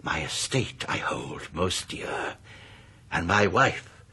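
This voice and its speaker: An actor playing a possessive, sardonic older husband in a radio drama, English-accented, deliberate and theatrical in delivery. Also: male voice, deep voice, animated, bright, forceful tone, slightly rough, exaggerated voice. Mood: brooding, possessive. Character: pompous, possessive husband, sardonic country-house host. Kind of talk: 1990s radio drama acting.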